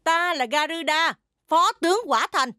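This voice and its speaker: A woman's voice: very high voice, unhurried, background noise. A woman voicing a proud, stubborn noble lady general in a cartoon dub, speaking imperiously. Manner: imperious, declarative. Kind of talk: animated motion comic dub.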